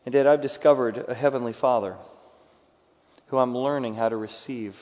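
A man preaches a sermon in a big space, his words trailing off into a long reverb. He acts out the people he quotes and swings between loud bursts and soft, hushed lines. The recording sounds dull and muffled. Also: medium pitch, fairly even intonation, normal speed. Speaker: pastor.

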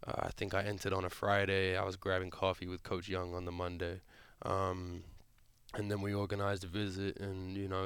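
A young man with an Australian accent, a guest on a podcast, answering in a relaxed, halting way with lots of fillers. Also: low-pitched, smooth, no echo. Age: young adult. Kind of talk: podcast interview.

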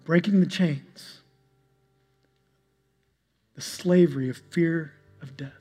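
A male pastor preaching a sermon to his congregation, with an even delivery he describes as monotonous. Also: fairly high pitch. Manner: calls himself monotonous and boring-voiced; preaching, shifts to a soft, slow prayer. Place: church sanctuary. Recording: long hall reverb with soft instrumental music.

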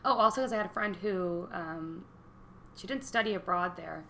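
Bright, chatty young woman podcast co-host. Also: lowish voice, normal speed, creaky, slight background noise. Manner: chatty, joking, laughs often. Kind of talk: casual chat.

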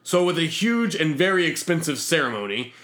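Bright-voiced man narrating with pompous flair. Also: on the high side, big pitch swings, fairly quick. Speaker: narrator reading a fictional diary. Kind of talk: scripted comic sci-fi audio story narration.

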